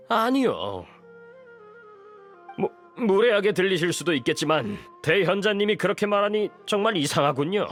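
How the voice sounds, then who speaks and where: Nervous bootlicking scholar in a game, high voice, jumps around a lot, music behind. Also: male voice, fairly bright tone, heavily acted. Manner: deferential, nervous, hesitant. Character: timid, apologetic male underling scholar. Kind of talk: dramatic Korean game voice acting.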